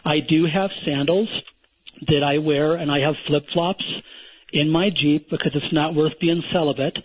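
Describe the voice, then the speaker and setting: A survival instructor, a guest on a radio show, talking bluntly and emphatically like a lecturer. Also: male voice, on the high side, steady tone, moderate pace. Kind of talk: phone-in radio interview. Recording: thin phone line.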